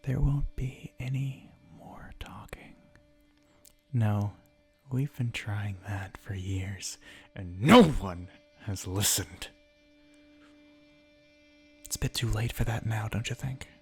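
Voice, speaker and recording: A man's voice: fairly low pitch, slow, long pauses, slightly rough, soft background music, full character voice. A man playing a bitter, mocking villain in an ASMR roleplay monologue, speaking theatrically with sardonic asides and often dropping toward a whisper. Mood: quietly menacing. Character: bitter, mocking male villain revolutionary monologuing at a fallen hero. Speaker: voice actor in an audio roleplay.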